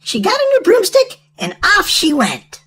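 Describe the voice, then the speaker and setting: High, wildly animated woman storyteller. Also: moderate pace. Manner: animated, exaggerated sing-song delivery to children. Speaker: children's storytime host and reader. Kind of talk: read-aloud storybook narration for young children.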